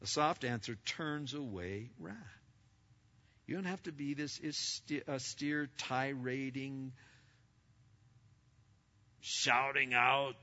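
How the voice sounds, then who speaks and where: Brief: middle-aged male preacher, big bright voice, stammering restarts, dull lo-fi sound. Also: medium pitch, slow pace, pauses often. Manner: loud and emphatic, with many stammered restarts. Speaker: pastor. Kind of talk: sermon to a congregation. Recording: lo-fi recording.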